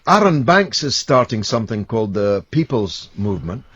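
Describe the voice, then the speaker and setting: A man's voice: fairly low pitch, sing-song, moderate pace, a bit bright, slightly rough, no room sound, dull audio. Emphatic Scottish man on talk radio. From Scotland. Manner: emphatic, rhetorical and forthright. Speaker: radio show host. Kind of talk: radio talk show chat. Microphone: studio microphone.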